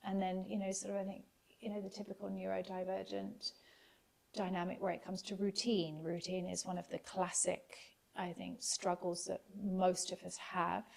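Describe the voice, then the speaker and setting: A woman guest on a podcast, speaking English as her first language, talking thoughtfully with many pauses and fillers. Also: mid-range voice, little pitch movement, creaky, darkish tone, poor audio. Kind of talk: reflective casual conversation.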